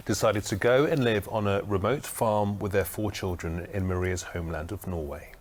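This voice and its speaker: A man's voice: on the low side, normal speed, a little hiss or hum. A man presents regional television news from a studio in a conversational scripted style.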